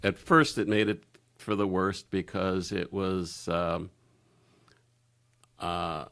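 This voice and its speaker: A man speaking candidly as a podcast guest, halting and searching for his words. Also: deep voice, steady tone, creaky, fairly bright tone. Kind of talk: candid personal conversation.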